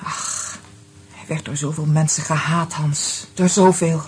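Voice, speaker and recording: An actress playing a guarded, bitter ex-wife in a radio drama, speaking in a cool, pointed, sardonic way. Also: female voice, sits low, steady tone, measured pace, a little mellow. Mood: bitter, knowing. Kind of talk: Dutch radio play dialogue.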